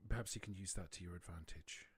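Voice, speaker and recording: A man voicing a wary, calculating vampire informant in a tabletop game, hushed and deliberate, with measured pauses. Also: deep voice, husky, full character voice. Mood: grave warning.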